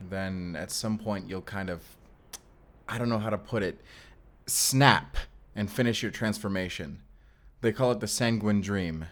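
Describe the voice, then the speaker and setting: A man's voice: fairly low pitch, lightly acted. A man playing a guarded, protective vampire slayer in an audio roleplay, speaking frankly and sternly. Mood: matter-of-fact. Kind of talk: cinematic audio roleplay addressed to the listener.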